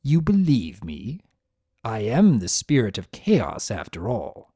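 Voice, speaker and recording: An urbane spirit of chaos from a fantasy story, acted by a man, swinging widely in pitch. He is sullen and volatile, drawing words out slowly with theatrical emphasis. The sound is dry and close. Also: huge pitch swings, warm tone, slightly rough, no echo, full character voice. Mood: solemn, gentle. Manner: theatrical, drawn-out, emphatic delivery. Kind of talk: dramatic fan fiction reading.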